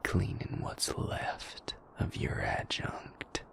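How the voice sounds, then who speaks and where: A man voicing a sadistic, mocking captor who gloats over a fallen prince in an audio drama, in a slow, taunting whisper. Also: fairly bright tone. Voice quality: creaky, whispery.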